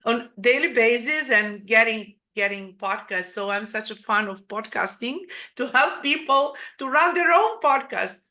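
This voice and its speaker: A woman hosting a podcast interview, a non-native English speaker who talks in halting phrases, repeats words and says "you know" a lot. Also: a little high, lively intonation, pushed and bright. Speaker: podcast host. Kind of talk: casual interview chat.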